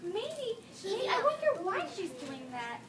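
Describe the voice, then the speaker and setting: A woman teacher reading a picture book aloud to young children, expressive, pausing to explain words and ask questions. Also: very high voice. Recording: echoey noisy room from far off.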